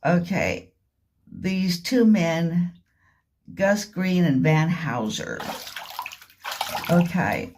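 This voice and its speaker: A woman giving a rambling, informal spoken commentary, halting and repetitive, leaning on words for emphasis. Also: lowish voice, lively intonation, a little mellow. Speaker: vlogger. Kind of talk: rambling informal monologue retelling and commenting on a radio drama.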